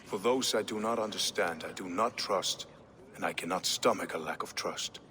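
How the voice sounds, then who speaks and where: An actor playing a commanding, measured Norse king in a video game, speaking formally and deliberately. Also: deep voice, bright, forceful tone, slight background noise. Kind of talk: dramatic scripted game acting. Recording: echoey hall with music underneath.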